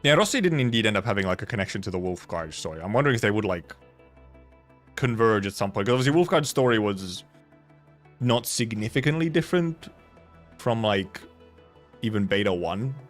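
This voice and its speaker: Lowish voiced guy streamer just rambling, repeats himself a lot, tone jumps around, music on behind him. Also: lively intonation, a little fast, long pauses. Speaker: game streamer. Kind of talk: casual livestream chat.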